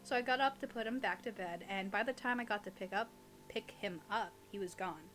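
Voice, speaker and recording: A woman's voice: medium pitch, a little fast, fairly bright tone, a little hiss or hum, poor audio. Young woman chatting playfully. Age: young adult. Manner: playful, teasing, informal. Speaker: podcast co-host. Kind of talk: casual chat and reading creepy stories aloud.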